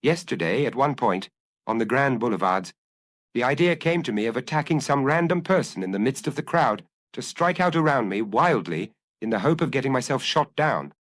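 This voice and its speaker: A man's voice: mid-range voice, animated, moderate pace, fairly bright tone, no room sound, close to an everyday voice. A man voicing an anguished young killer who earnestly confesses and analyzes his compulsion in a dramatic audiobook reading. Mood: resigned.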